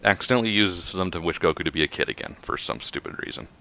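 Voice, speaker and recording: A man's voice: deep voice, lively intonation, fast talker, gravelly, pushed and bright. A man co-hosting a casual commentary in a matter-of-fact explaining manner, on a slightly dull microphone. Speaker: podcast co-host. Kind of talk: casual chat, retrospective commentary.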